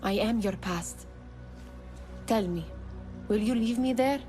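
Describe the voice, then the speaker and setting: A woman's voice: medium pitch, slow, dark tone, faint music behind, lightly acted. An actress playing a haunting woman from someone's past in a video game, probing and insistent. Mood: confronting, wounded. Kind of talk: dramatic video game acting.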